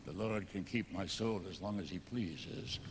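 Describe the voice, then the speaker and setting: A man's voice: low-pitched, normal speed, noisy background, natural voice. An actor playing a vengeful Venetian nobleman who narrates a gothic audio drama, theatrical and brooding, seething beneath sly false courtesy. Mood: darkly gleeful. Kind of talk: melodramatic gothic radio drama narration and dialogue. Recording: music under the voice.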